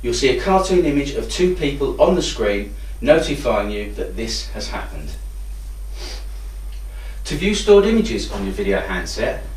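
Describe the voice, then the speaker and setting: A man's voice: medium pitch, very animated, unhurried, darkish tone. A man giving an instructional product demonstration. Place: indoor room with hard walls. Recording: roomy echo, distant microphone.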